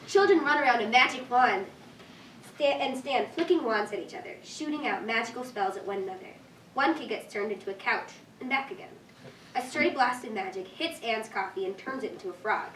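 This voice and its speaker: A woman's voice: on the high side, animated, fairly quick, a bit bright. A woman reading scripted stage directions as narrator at a live staged reading. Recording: distant echoey room, faint noise.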